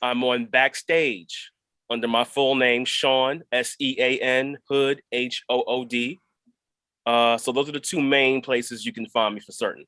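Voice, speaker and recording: Clear, bright-voiced man, dry sound. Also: medium pitch, flat delivery, unhurried, no echo. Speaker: actor, interview guest.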